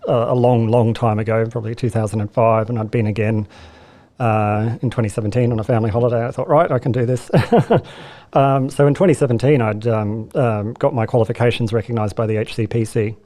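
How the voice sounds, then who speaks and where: An Australian man in his thirties, talking as a podcast guest. His voice sits at a middle pitch with a mellow, warm colour. He rambles easily, with plenty of ums and restarts. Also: fairly even intonation, fairly quick. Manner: chatty and self-deprecating, many fillers and restarts. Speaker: guest, speech and language therapist. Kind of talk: casual podcast interview.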